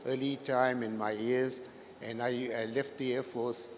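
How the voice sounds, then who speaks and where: Older male guest speaker from Pakistan, halting and searching for words, mid-pitched voice, reverberant hall sound. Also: level tone. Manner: hesitant, many fillers and restarts. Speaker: guest speaker, retired pilot. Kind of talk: halting live stage interview. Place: large event hall. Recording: long hall reverb, faint noise.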